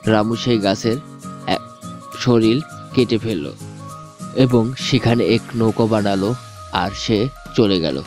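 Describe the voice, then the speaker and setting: A man's voice: on the low side, slow, long pauses. A man telling a scripted children's fairy tale as the storyteller. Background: music.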